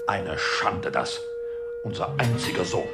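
A man's voice: lowish voice, huge pitch swings, a little slow, loud music behind the voice, full character voice. An actor playing a stern, ashamed father who speaks harshly and reproachfully in a radio drama. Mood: bitter shame.

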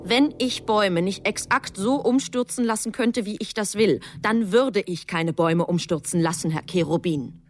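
An actress playing a precise, self-assured angel who narrates a radio drama in a measured, matter-of-fact way. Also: female voice, mid-range voice, faint music behind, close to an everyday voice. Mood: self-assured, formal.

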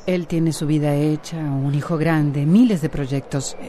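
A woman's voice: low voice, steady tone, measured pace, dark tone, slight background noise. An actress playing a troubled, resigned pregnant woman in a radio soap opera. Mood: sad, resigned.

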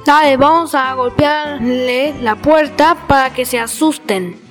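A mischievous, boastful schoolchild played by a woman with a high-pitched voice, acted for a radio play. Faint music runs underneath. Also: a little slow. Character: mischievous schoolchild, boastful but easily scared.

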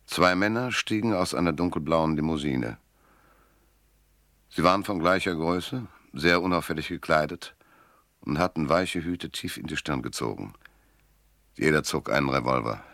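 A man's voice: very deep voice, normal speed, gravelly, long pauses, a bit bright, dry recording, heavily acted. A man playing a weary, cynical private detective in a radio drama, narrating and talking in a laconic, dry, world-weary way. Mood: cool, detached. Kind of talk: first-person noir narration and dialogue in radio drama. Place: dry studio.

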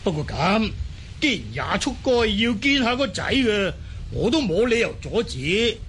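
Man acting a high-pitched, expansive Mongol chieftain. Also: very animated, unhurried, fairly bright tone, slight background noise, full character voice. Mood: firm, guarded. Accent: Cantonese. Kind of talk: Cantonese audio drama acting.

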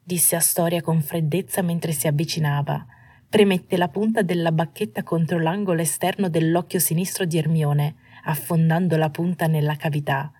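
Low, husky woman narrating fiction. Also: fairly even intonation, normal speed, dark tone, no echo. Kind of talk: scripted audiobook narration of fiction.

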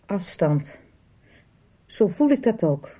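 A woman's voice: lowish voice, sing-song, slow pace, mellow, heavily acted. An actress playing a troubled alcoholic mother, lonely and confessional, speaking in downcast short phrases in a radio soap. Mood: subdued. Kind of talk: 1990s Dutch radio soap acting.

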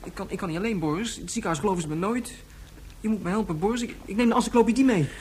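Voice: mid-range voice, very fast, darkish tone, slight background noise, natural voice. An actor playing an insistent, worried young boy in a science-fiction radio play. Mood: urgent, pleading. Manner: insistent, breathless rush of questions and pleas.

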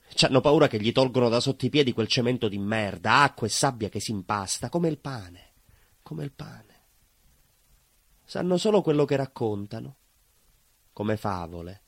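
Guy acting a wronged baker from southern italy, husky stage monologue, bitter, repeats stuff, really dry close sound. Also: mid-range voice, no room sound. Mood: resentful. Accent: southern Italian. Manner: emphatic repetitions, dropping to near whispers. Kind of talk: theatrical monologue.

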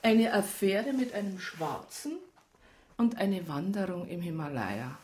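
A woman's voice: lowish voice, lively intonation, measured pace, darkish tone. Dry, teasing worldly woman. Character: worldly, disillusioned, flirtatious Bavarian woman, bluntly independent. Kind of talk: naturalistic radio drama acting.

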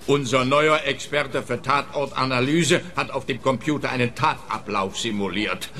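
An actor playing a stolid, self-important policeman in a radio crime play, speaking with emphatic, official, halting phrasing. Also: mid-range voice, bright, forceful tone, close to an everyday voice.

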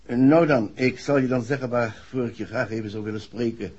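An actor playing a proud, uneasy husband, husky, formal and emphatic, in a 1960s radio play. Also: male voice, mid-range voice, brisk, darkish tone, natural voice. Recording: dull old radio recording.

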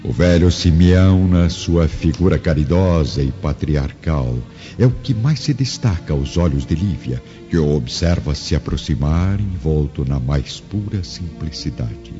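An actor playing the narrator of a radio drama, solemn, deliberate and literary in his scripted storytelling. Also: a bass voice, lively intonation, mellow. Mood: solemn, reverent. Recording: dull lo-fi recording, faint music behind. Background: faint music.

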